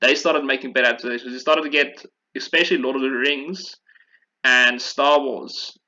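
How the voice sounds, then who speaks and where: Guy podcasting casually about games, bright keen voice, kinda dull lo-fi sound. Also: mid-range voice, steady tone, normal speed. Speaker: podcast host.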